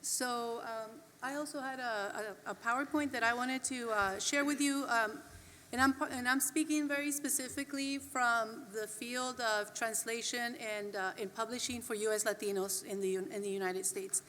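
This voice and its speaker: A woman's voice: on the high side, fairly even intonation, normal speed, fairly bright tone. A woman panelist speaking in a hesitant, careful way. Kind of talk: panel talk. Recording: long hall reverb with faint noise.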